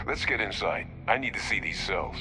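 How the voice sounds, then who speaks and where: An actor playing a weary, wry older male scientist in a video game, halting and wary as he talks. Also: deep voice, lively intonation. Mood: gruff, businesslike. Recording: loud music under the voice.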